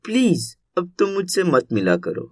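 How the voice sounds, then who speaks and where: A man voicing a humble, kind, earnest young rickshaw driver in an animated moral story. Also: high voice, normal speed, dry recording, studio quality, exaggerated voice. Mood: humble, sad. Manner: earnest, considerate.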